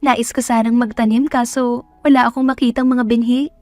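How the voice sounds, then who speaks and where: A woman's voice: fairly high pitch, flat delivery, brisk, a little mellow, no echo, soft background music, lightly acted. A woman voicing a naive, earnest young country woman, polite and plain-spoken, in a romance audio drama. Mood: bored.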